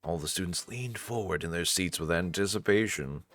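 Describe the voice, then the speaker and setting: A man's voice: low voice, normal speed, fairly bright tone, faint music behind. A man narrating a visual novel as a storyteller, with casual commenting asides.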